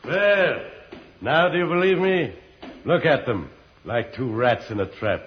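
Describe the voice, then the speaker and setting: A man's voice: mid-range voice, animated, measured pace, a little hiss or hum, lightly acted. An American man playing a sneering, grandiose tyrant of Mars in an old-time radio serial. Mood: gloating, scornful. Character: gloating megalomaniac male tyrant of Mars. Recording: muffled vintage radio recording.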